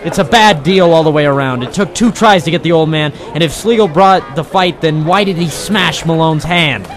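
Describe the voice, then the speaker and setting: An actor playing a tough, cynical dockside private eye in 1940s radio noir style, deadpan and hard-boiled. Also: male voice, fairly high pitch, normal speed, close to an everyday voice. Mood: urgent, terse. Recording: vintage 1940s radio recording with music.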